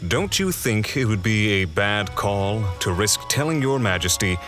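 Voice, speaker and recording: Guy acting as a principled elf leader, low animated voice, formal and commanding, music behind. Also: unhurried. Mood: firm, polite. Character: composed, principled male elf leader of a patrol. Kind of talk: fantasy audio drama acting.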